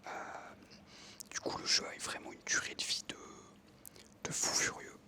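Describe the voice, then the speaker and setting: Whispering young ASMR gamer, bright and crackly. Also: normal speed. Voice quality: whispered, breathy. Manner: informal, enthusiastic gamer talk. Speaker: ASMR creator narrating own gameplay. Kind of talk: ASMR whispered casual storytelling over gameplay.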